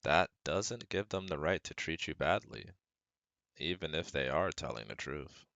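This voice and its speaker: A man's voice: very deep voice, lively intonation, unhurried, gravelly, fairly bright tone, no room sound, dull audio, full character voice. A young man playing a caring, protective best friend and psychology student in a scripted ASMR roleplay, speaking earnestly and reassuringly to the listener. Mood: reassuring, analytical. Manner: reassuring, earnest, talks directly to a close friend.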